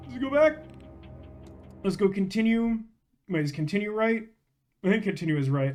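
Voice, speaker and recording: A man hosting a gaming livestream, chatting casually to viewers and thinking aloud in a rambling way. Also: sits high. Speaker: livestream host, gamer.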